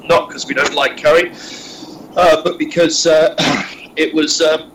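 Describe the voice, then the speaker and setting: A man's voice: on the high side, fairly even intonation, a little slow, a bit bright, a little hiss or hum, poor audio. A man with a head cold, sounding nasal, chatting casually and rambling through filler-heavy restarts while he drives. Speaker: podcast co-host recounting a wargaming tournament. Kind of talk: casual chat. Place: in a moving car.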